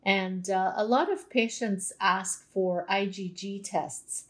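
A woman's voice: mid-range voice, slow pace, fairly bright tone. A Canadian woman, a naturopathic doctor, explaining nutrition in a conversational interview with everyday examples.

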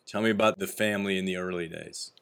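A man hosts an interview podcast in a slightly low voice. He sounds upbeat and encouraging as he asks his guest questions. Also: steady tone, fast, vocal fry, a bit bright, clear, resonant tone. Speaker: podcast host.

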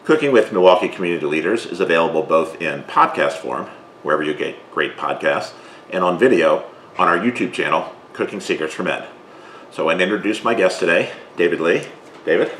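A man hosting a cooking interview podcast, asking questions in a relaxed, measured way with many ums. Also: sits low, reverberant, slight background noise. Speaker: podcast host.

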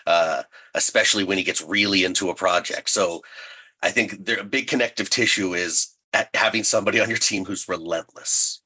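A male writer talks casually as an interview guest. His voice is low and rough-edged with a crisp, forceful brightness, and his delivery is measured with dry humour. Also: steady tone.

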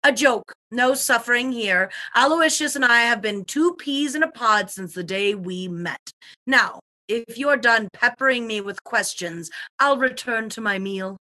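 Haughty society widow, very bright forceful voice, airy, dismissive, comic radio mystery. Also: female voice, a little high, unhurried. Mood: haughty, cool. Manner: airy, grandly dismissive, punning. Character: breezy, haughty newly widowed society wife, unbothered.

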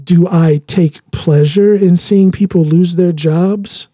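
A man's voice: high-pitched, fairly even intonation, takes it slowly, warm tone, no room sound, studio quality. A man hosting a solo podcast, talking earnestly in a loose monologue and often restarting his sentences. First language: English. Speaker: podcast host.

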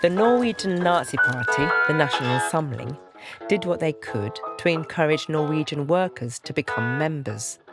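A woman's voice: sits low, lively intonation, moderate pace. A woman reading scripted documentary-style narration for an audio drama. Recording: prominent guitar music behind voice. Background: music.

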